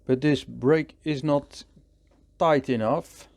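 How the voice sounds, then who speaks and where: A man with a Dutch accent, calmly thinking aloud as he works through a hands-on repair tutorial. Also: mid-range voice, slow, gravelly. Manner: calm, thinking aloud while working. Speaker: repair technician.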